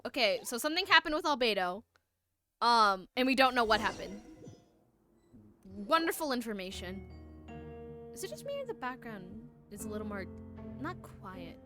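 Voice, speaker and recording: A woman's voice: on the high side, sing-song, moderate pace, long pauses, sharp tone, faint music behind. A woman livestreaming a game with casual, playful, chatty commentary.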